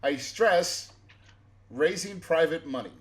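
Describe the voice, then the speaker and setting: A man's voice: on the high side, expressive, slow. A man hosting a podcast interview, talking in a coaching, persuasive way, often checking in with "right?". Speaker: podcast host. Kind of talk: casual interview.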